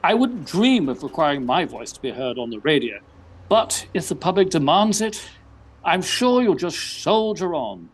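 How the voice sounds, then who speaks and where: A man playing a vain gentleman detective, speaking in a pompous, formal, drily witty way. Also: a little high, very animated, moderate pace, slightly rough. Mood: pompous, dry.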